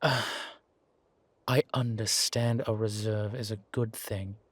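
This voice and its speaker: A man acts out a sardonic, weary male vampire in an audio roleplay drama. His voice sits on the low side, with measured, formal phrasing and a wry edge. The sound is close and dry, with very little room on it. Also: steady tone, husky, no echo. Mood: musing, calm.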